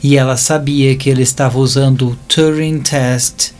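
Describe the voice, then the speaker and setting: A Brazilian man narrates a dramatic scripted story. His voice sits at an ordinary male pitch, with a music bed running behind it. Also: steady tone, moderate pace, a little mellow.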